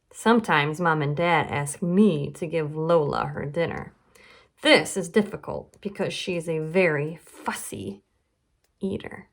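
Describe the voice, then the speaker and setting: Slow-paced woman reading to children. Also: sits low, a bit bright. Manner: teacherly, asks questions and pauses for answers. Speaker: nutrition educator reading to children. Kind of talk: guided storybook read-aloud for children.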